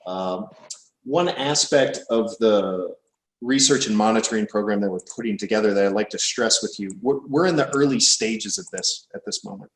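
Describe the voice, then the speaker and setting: A man in his thirties with an American Midwestern accent, giving a relaxed, informal academic seminar talk. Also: fairly low pitch, normal speed. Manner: relaxed, informal, thinking aloud. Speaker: guest lecturer. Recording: echoey room, distant mic.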